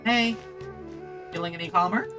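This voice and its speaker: A woman's voice: fairly low pitch, moderate pace. A woman streaming a game, giving casual live commentary and reading along with the dialogue. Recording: game music behind the voice.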